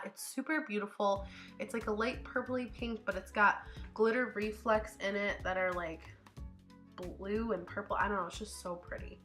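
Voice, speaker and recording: A woman beauty vlogger with a cold and a stuffy nose, chatting casually as she narrates her makeup tutorial. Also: mid-range voice. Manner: chatty, upbeat, thinks out loud with fillers. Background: faint background music.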